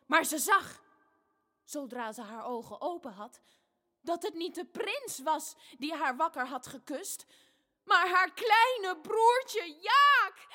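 An actress playing an imaginative, dramatic big sister, telling a fairy tale theatrically to children. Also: female voice, extremely high pitch, big pitch swings, takes it slowly, pushed and bright, full character voice. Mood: grand, dramatic. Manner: theatrical, switches between narrating and acting.

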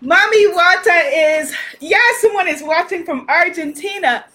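A woman from Guyana hosting an online spiritual festival on a livestream, loud and energetic, leading chants and cheering on viewers. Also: extremely high pitch, measured pace. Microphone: phone or webcam on a livestream.

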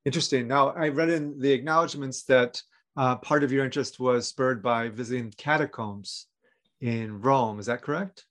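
A man's voice: medium pitch, moderate pace, a bit bright. A man hosting a podcast, speaking in the style of a prepared interview with introductions and questions.

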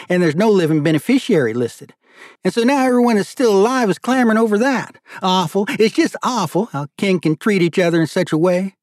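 An older Southern judge, acted out by a male audiobook narrator, loud and robust in a thick Georgia drawl. His voice rides high and close, with folksy, exasperated humor and emphatic delivery. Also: no room sound, close to an everyday voice. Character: boisterous older Southern judge, short pudgy, exasperated, joking.

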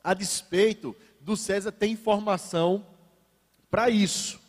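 A man's voice: sits high, fairly even intonation, measured pace, long reverb tail. A man from Brazil preaching a sermon to a congregation. He shifts his pace for emphasis and slips in ironic asides.

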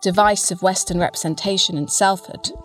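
A woman with a fairly low voice reads from working notes. Her phrasing is measured and clipped, and she sounds a little away from the microphone, with faint music underneath. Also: fairly even intonation. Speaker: reader of an artist's working notes. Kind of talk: scripted reading of fragmentary notes. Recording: slightly distant mic, music underneath.

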